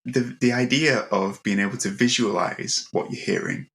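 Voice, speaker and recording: A man's voice: mid-range voice, normal speed, fairly bright tone. A man hosting a conversational interview, putting his questions in an appreciative, roundabout way. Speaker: interviewer, podcast host.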